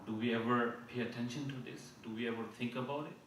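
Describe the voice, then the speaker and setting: A man's voice: medium pitch, steady tone, fairly bright tone, a little hiss or hum. Man preaching in a very echoey hall. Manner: earnest, repeats and restarts phrases, recites Arabic verses quickly. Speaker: speaker at an Islamic conference. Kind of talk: sermon. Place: mosque hall. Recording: very echoey hall, speaker far from mic.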